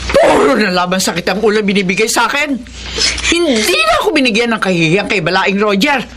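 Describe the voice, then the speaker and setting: A stern older Filipino mother acted by a woman in a radio soap. She scolds with wide swings in pitch and a feisty temper. The recording is noisy. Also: big pitch swings, a little fast, natural voice. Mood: indignant. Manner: scolding, forceful. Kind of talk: Tagalog radio drama acting.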